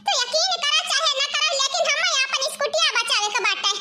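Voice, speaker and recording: A woman voicing a frantic, complaining young village wife in a comic cartoon, with an Awadhi accent and a whiny, excitable manner. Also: extremely high pitch, fairly quick, reverberant, background noise. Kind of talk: exaggerated comic cartoon acting.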